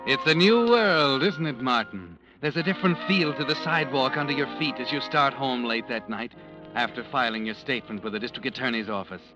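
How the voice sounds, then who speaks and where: Man, mid-pitched, ominous measured narration, radio mystery, music behind. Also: highs cut off. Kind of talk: second-person scripted narration.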